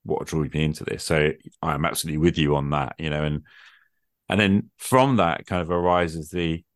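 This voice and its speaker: Very deep-voiced, reflective middle-aged man. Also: moderate pace, dry recording, studio quality. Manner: reflective, hesitant, thinking aloud. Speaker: podcast host. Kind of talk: extended casual conversation about role-playing games.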